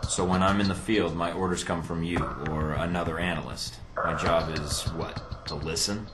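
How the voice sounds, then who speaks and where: A man's voice: low-pitched, fairly even intonation, moderate pace, natural voice. A man playing an easygoing, inquisitive agent recruit in a video game, speaking casually with a wry edge. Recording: roomy echo, loud game music behind.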